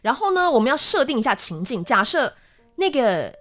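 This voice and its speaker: A young woman with a Taiwanese Mandarin accent explaining her work as an interview guest in an animated, self-deprecating, casual way. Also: high voice, moderate pace, soft background music. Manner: animated, self-deprecating, acts out small examples.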